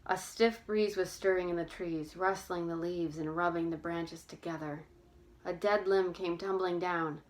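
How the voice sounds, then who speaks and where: A woman reading a storybook aloud as narrator, with expressive, careful, dramatic delivery. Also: on the low side, measured pace, poor audio.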